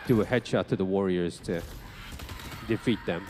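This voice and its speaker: A man giving casual commentary as he presents a live game demo, talking informally in short, halting phrases. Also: low-pitched, noisy background.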